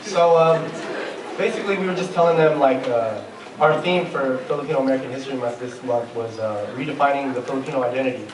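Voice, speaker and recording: A young man hosting a student panel interview, talking haltingly with lots of fillers. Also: medium pitch. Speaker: student event host. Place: large hall with a live audience. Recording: echoey hall, far mic, crowd noise. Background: crowd chatter.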